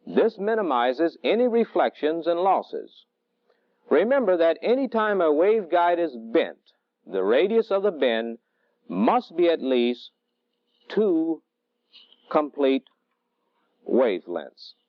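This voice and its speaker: Male technical instructor, middle-aged, fairly high voice with varied intonation, measured teaching delivery, old training-film sound. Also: sing-song, no echo. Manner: deliberate, didactic, measured phrasing. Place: indoor training film studio. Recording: dull, dry vintage film soundtrack.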